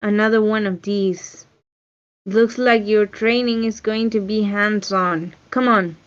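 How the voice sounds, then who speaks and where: A woman's voice: mid-range voice, fairly even intonation, a little slow, close to an everyday voice. A woman playing a commanding, unsentimental witch mentor in a screenplay table read. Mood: brisk, matter-of-fact. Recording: muffled online meeting audio.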